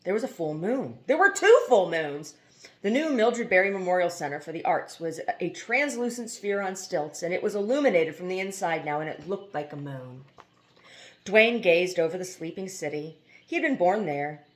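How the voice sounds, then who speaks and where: A woman's voice: on the low side, animated, normal speed. A woman reading a novel aloud as scripted narration, now and then stumbling over a word. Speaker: amateur audiobook reader.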